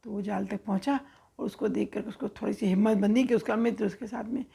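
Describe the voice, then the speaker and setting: A woman's voice: mid-range voice, steady tone, quick pace, creaky, dark tone, breathy edge. A woman storyteller narrating for children in a conversational, explanatory way, checking in with her listeners.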